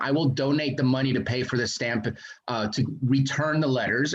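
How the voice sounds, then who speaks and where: A man speaking at a city committee meeting, talkative, with a voice he calls very loud. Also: fairly high pitch, dull audio. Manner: talkative, self-described loud voice. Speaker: committee member. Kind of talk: meeting discussion.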